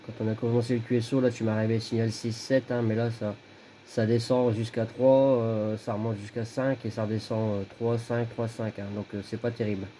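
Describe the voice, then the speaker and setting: A man's voice: mid-range voice, level tone, fairly quick, mellow. A man giving casual, matter-of-fact signal reports as an amateur radio operator, often repeating himself. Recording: faint background noise, radio contact.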